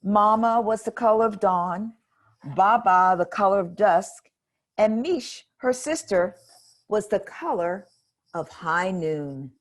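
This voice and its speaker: A woman reading a children's picture book aloud in a gentle, expressive way. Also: medium pitch, takes it slowly. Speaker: storybook reader.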